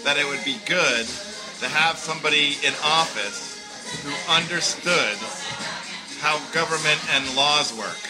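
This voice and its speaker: A man's voice: fairly high pitch, a little slow, poor audio. A man hosting a comedic talk show, playfully steering the interview. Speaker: host. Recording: music in background.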